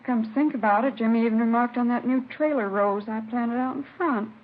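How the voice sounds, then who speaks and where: A woman's voice: a little high, a little fast, close to an everyday voice. A woman playing a talkative neighbor who is sure of herself, questioned by police in a 1950s radio drama. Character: chatty, certain middle-aged woman neighbor. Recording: dull vintage radio recording.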